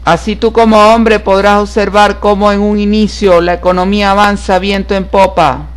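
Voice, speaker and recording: High-voiced man reading tarot horoscopes. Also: little pitch movement, normal speed, smooth. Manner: solemn, repetitive, emphatic about being certified. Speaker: tarot reader and astrologer.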